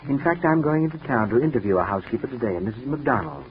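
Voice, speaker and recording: An actor playing a moody composer with a quietly sinister, coaxing and measured manner in a 1940s radio mystery. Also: male voice, mid-range voice, animated, a crowd in the background, lightly acted. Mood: calmly persuasive. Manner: measured, coaxing, outwardly solicitous. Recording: dull, noisy 1940s radio recording.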